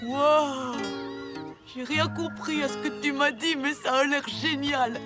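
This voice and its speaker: With music clearly behind, a high-pitched little boy in a children's cartoon. He is sweetly naive and eager, clinging and wondering, with a guileless, slightly bumbling way of talking. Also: fairly even intonation, takes it slowly. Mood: wide-eyed, admiring. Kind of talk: animated cartoon acting.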